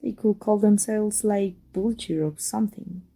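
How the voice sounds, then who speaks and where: A woman's voice: medium pitch, unhurried, creaky, dark tone. A woman playing a sarcastic, prickly female supervillain who taunts a rival in a theatrical ASMR roleplay drama. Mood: teasing, mocking.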